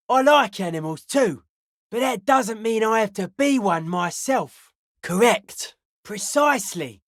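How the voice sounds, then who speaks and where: A deadpan, suspicious young raver, dry studio sound. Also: female voice, on the high side, no room sound. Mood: aloof, cryptic. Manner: deadpan, clipped, cryptic pronouncements. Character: suspicious, aloof young hardcore raver with cryptic theories. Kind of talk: video game character dialogue.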